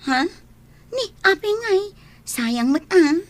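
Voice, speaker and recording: Actress as high, confident young Ilocano waitress. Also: female voice, sing-song, takes it slowly, full character voice. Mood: friendly, upbeat. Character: persuasive, confident young city bar waitress friend. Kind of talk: Ilocano radio drama acting.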